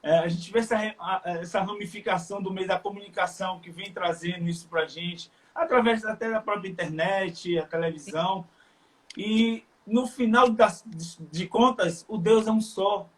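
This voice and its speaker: Bahian man, high voice, sincere, halting speech full of fillers. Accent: Bahian Brazilian Portuguese. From Salvador, Bahia, Brazil. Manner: earnest, halting with frequent fillers. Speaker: live stream guest. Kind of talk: casual chat.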